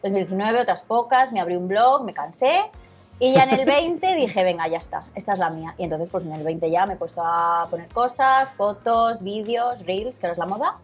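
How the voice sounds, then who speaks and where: A woman's voice: medium pitch, lively intonation, moderate pace, soft background music. Chatty young woman from Madrid. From Madrid, Spain. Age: young adult. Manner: chatty, colloquial. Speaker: interview guest, Instagram content creator. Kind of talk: casual chat. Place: small studio set, indoors. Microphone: lapel.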